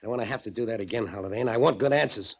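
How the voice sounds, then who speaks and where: A man's voice: lowish voice, very fast, husky. An actor playing a curt, suspicious, threatening gangster gunman in 1940s radio drama style, using tough slang. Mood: suspicious, demanding. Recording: dull, noisy old radio recording with clicks.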